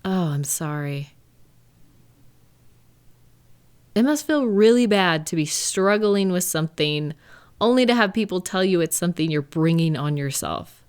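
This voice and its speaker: Gentle, lower-pitched woman, reassuring partner acted. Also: expressive, smooth, close to an everyday voice. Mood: reassuring, sympathetic. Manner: gentle, hesitant, reassuring, thoughtful pauses. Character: caring, reassuring live-in partner, adult woman. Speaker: audio roleplay performer. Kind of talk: intimate one-sided comfort roleplay, conversational acting.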